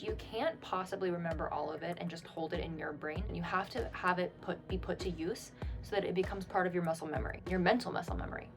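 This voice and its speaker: Rambling young American woman vlogging. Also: lowish voice. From United States. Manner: rambling, lots of "like" and restarts. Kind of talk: casual vlog talk to camera. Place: indoors, a rented home room.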